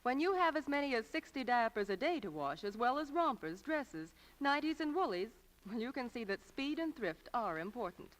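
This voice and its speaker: In a reverberant old radio recording, a contented mother. Her voice is fairly high and very animated. She gives a testimonial in 1940s advertising style. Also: moderate pace. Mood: pleased.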